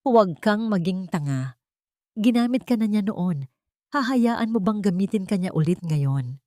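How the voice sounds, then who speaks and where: A woman's voice: medium pitch, expressive, measured pace, a little mellow, no echo, clean studio sound, voice pushed up, close to an everyday voice. Woman voicing a haughty, scheming rich mother. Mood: scornful. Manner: scornful, commanding.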